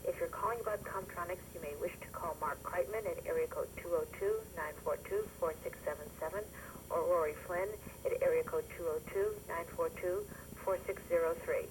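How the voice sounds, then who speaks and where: A woman's voice: fairly low pitch, brisk, distant mic, background noise. A woman reading out an office voicemail greeting, heard over a telephone line. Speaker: office worker.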